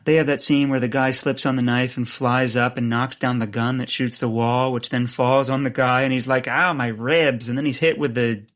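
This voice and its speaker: A man's voice: mid-range voice, fairly even intonation, normal speed, hardly any pauses, a bit bright, close to an everyday voice. A man playing a scatterbrained, earnest amateur sleuth in a comedic audio drama, wisecracking and rambling awkwardly.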